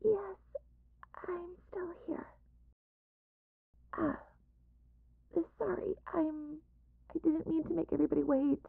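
A woman performs a sweet, insecure young mage girlfriend in an audio drama. Her creaky voice is timid and apologetic, stumbling shyly over words in a dry recording. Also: very high voice, fairly even intonation, slow pace, long pauses, no room sound, phone-quality sound, heavily acted. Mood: flustered. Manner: hesitant, timid, stammering. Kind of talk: scripted audio roleplay.

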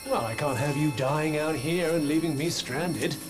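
An actor playing a haughty, articulate companion in a video game, speaking in a formal, sardonic and theatrical manner. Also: male voice, fairly high pitch, normal speed, music in the background, lightly acted. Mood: wry, indignant. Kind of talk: video game cutscene acting.

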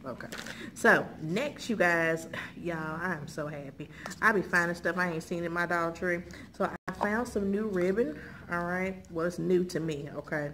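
A middle-aged American woman with a lowish voice chats casually to her viewers. Her manner is folksy and conversational, with frequent little check-ins. There is clear background noise in the indoor recording. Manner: folksy, conversational, frequent check-in tags like okay and all right. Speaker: haul video host. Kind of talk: casual chat showing purchases to viewers. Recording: clearly noisy room recording.

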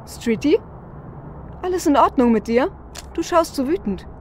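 Cyberpunk audio drama: cocky female technomancer, loyal and cutting, highish voice, played by a woman. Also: lively intonation, measured pace, darkish tone. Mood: watchful. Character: cocky, sharp-tongued female technomancer hacker, loyal to her team. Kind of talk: fan audio drama acting. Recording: faint vehicle and street noise.

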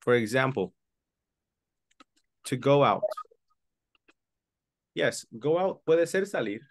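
Slow, patient man teaching English. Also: mid-range voice, lively intonation, no room sound, clean studio sound. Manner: patient, repeats words slowly for students. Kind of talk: online English lesson, explaining in English and Spanish. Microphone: video call webcam.

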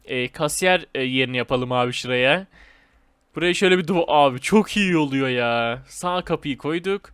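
Smooth-voiced man doing casual gameplay commentary. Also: fairly high pitch, moderate pace, fairly bright tone, no room sound, clean studio sound. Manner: slangy, repetitive, addresses viewers as friends. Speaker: gaming video host.

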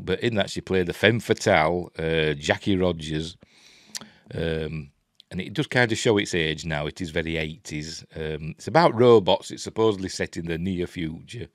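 A man's voice: low voice, expressive, moderate pace, no echo, studio quality. A man in his sixties with a Yorkshire accent, giving casual news commentary in a hesitant, rambling way. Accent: Yorkshire English. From Yorkshire, England. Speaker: host of a film and TV news vlog. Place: small cluttered room at home, indoors.

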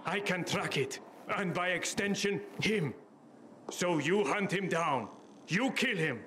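A curt old inventor barks short orders, his voice set fairly high for a man. He has no patience and speaks in blunt, clipped sentences. The game audio behind him is thick with background noise. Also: slow, sharp tone, heavily acted. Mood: commanding. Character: gruff older male inventor, curt and impatient. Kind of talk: video game cutscene acting.

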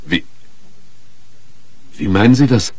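An actor playing an earnest, conscientious doctor in a radio crime drama, formal and pleading, with a husky voice. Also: male voice, lowish voice, animated, slow, a little mellow, no echo. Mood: disbelieving. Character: earnest, conscientious male doctor shocked by a confession.

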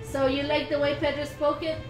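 A woman's voice: sits high, level tone, brisk. A young woman co-hosting a casual livestream, reading out viewer comments in a giggly manner. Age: young adult. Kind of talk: casual bilingual livestream chat. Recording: roomy echo, music behind. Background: background music.

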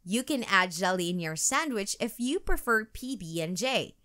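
A woman reading a children's storybook aloud in an expressive, deliberate storytelling manner. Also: medium pitch. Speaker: storytime channel narrator. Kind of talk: children's book read-aloud.

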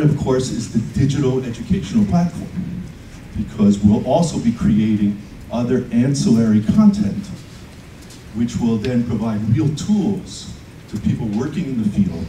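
Guy pitching his film project at a panel, really mellow voice, roomy far-off sound. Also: animated, normal speed, slight background noise. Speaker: panel presenter, filmmaker. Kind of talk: presentation to an audience. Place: conference room. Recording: roomy conference hall audio from a distance.

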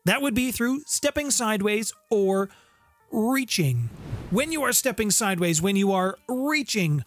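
A man's voice: sits high, lively intonation, a little slow. A middle-aged man presenting a scripted explainer on game lore, with playful, theatrical emphasis, close on a studio mic. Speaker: host of a tabletop game lore channel. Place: home room with guitars, indoors. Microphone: close studio condenser mic with pop filter. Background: background music.

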